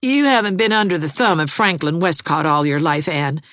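A woman's voice: deep voice, fairly bright tone, dry recording, studio quality, pitched above the performer's own voice. A woman voicing a lovesick, gloomy young man. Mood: fretful. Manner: earnest, fretful, fast-talking. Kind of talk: audiobook character voice.

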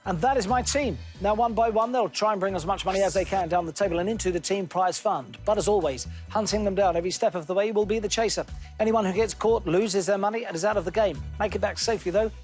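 A man hosting a TV quiz show, brisk and jokey, reading questions crisply. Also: high voice, a little mellow, soft background music.

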